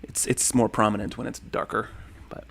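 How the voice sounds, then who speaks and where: A man's voice: fairly low pitch, level tone, normal speed, vocal fry, a bit bright, husky. A man in his thirties hosting a casual livestream, rambling and thanking viewers as he reads their comments. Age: 30s. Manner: rambling, friendly, many ums and thank-yous. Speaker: livestream host.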